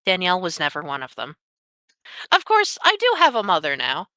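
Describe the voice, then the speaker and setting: A nineteen-year-old woman telling a painful personal story on a podcast, candid and sweary with heavy pauses. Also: on the low side, wildly swooping pitch, pushed and bright, no room sound. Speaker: podcast guest.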